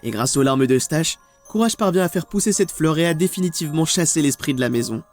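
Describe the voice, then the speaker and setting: A man reading scripted documentary-style narration for a video essay, in a measured, ominous storytelling manner. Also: a little high, animated. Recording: music under the voice. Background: background music.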